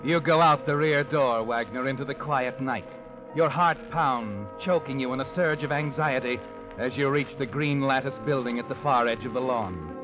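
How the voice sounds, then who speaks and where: An actor playing a sinister, all-knowing narrator, mocking and dramatic, in 1940s radio noir style. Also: male voice, mid-range voice, moderate pace, lightly acted. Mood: ominous, taunting. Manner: ominous, mocking, dramatic, addresses the character. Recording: muffled old radio recording. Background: dramatic music.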